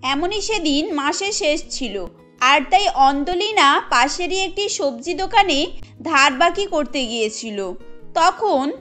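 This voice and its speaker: A woman with a very high voice narrates a cartoon tale from a script. Faint music plays behind her storytelling. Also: expressive, slow pace, a bit bright.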